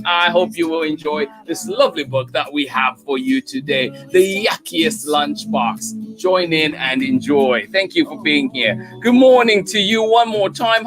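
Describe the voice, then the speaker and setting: Cheerful high-voiced man greeting viewers. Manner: warm effusive greetings, repeats thanks. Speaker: storytime host. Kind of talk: live-stream greetings to viewers.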